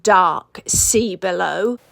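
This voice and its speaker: A woman's voice: lowish voice, very slow, gravelly, a bit bright, dry recording. A woman narrating a scripted story for young children as a storyteller.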